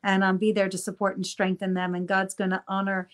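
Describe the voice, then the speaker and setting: A middle-aged woman from the United States, a pastor speaking as a guest on a video-call interview, earnest and gentle with frequent fillers. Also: medium pitch, monotone, brisk. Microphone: laptop webcam on a video call.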